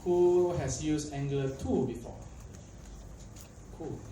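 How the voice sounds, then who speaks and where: Young man from Malaysia, casual conference speaker, chatty, lots of fillers, quizzes the crowd; dark tone, faint background noise. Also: on the high side, slow. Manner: chatty, filler-heavy, asks the audience questions. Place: conference hall. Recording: echoey hall, mic at a distance, faint background noise.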